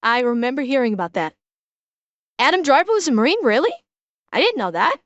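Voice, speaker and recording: A high-pitched woman with a broad Midwestern accent, chatting loosely to her stream audience as she reacts to a clip. She rambles, restarts her sentences and corrects herself mid-thought. Her voice sounds dry and close, with no room around it. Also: sing-song, brisk, fairly bright tone, dry recording, highs cut off. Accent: broad Midwestern American. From Chicago, USA. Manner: rambling, self-correcting, talks to chat. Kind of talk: casual reaction stream chat.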